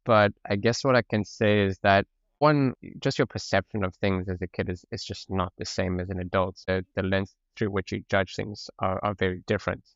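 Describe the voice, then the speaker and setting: A man's voice: deep voice, fairly even intonation, normal speed, no echo, lo-fi sound. A man with a mixed French and South African accent, talking as a podcast guest in an easygoing way, often laughing. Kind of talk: casual conversational interview.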